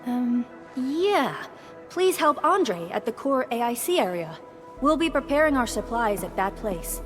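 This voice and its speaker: A woman voicing a serious, businesslike scientist in charge, in a video game, with a measured manner. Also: fairly high pitch, sing-song. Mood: serious, thoughtful. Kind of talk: scripted game dialogue. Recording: long reverb on the voice. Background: game music.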